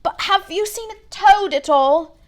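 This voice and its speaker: A woman's voice: very high voice, a little slow, pitched above the performer's own voice. A woman voicing a tearful, miserable young boy who pleads and wails in a storybook reading. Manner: wailing, pleading.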